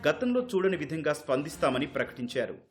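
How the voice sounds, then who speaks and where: A man's voice: on the high side, very animated, quick pace, faint music behind. A man delivering a scripted news report voice-over.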